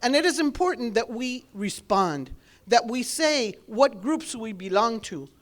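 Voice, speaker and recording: A woman's voice: fairly high pitch, expressive, measured pace. A woman with an accent giving an earnest, explanatory informational speech to a community audience. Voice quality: speaks with an accent. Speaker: government outreach speaker.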